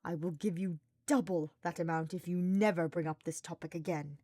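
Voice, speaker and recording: A woman voicing an irritable, stern male dark mage in an audiobook, growling and formal. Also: fairly low pitch, steady tone, moderate pace, no echo, clean studio sound, natural voice. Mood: irritated. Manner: growling, clipped through clenched teeth, formal. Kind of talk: audiobook character voice.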